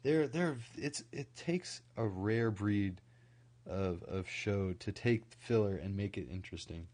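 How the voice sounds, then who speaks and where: A young man from Idaho, rambling casually on a late-night stream, full of "like" fillers, his voice a little scratchy from a recent cold and kept quieter than usual. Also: fairly low pitch, measured pace. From Idaho, USA. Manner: rambling, many "like" fillers and restarts. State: slightly scratchy from recent illness.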